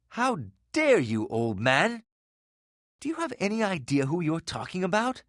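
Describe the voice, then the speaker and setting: A man's voice: fairly high pitch, no room sound, clean studio sound, full character voice. An actor playing a hot-headed, devout young man in a video game, speaking with earnest, dramatic indignation. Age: young adult.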